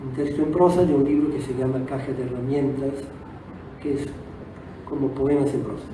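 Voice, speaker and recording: A man's voice: mid-range voice, steady tone, moderate pace. A man whose first language is Italian, giving an unscripted, reflective talk as a guest author, hesitating and rewording as he goes. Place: auditorium at a book fair. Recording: distant echoey auditorium, noisy background.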